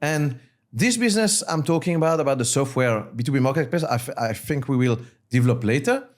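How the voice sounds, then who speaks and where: A man's voice: mid-range voice, animated, brisk. A man from Mauritius speaking as an interview guest, an entrepreneur explaining his views in short, emphatic, repeated phrases. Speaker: guest, startup founder and CEO.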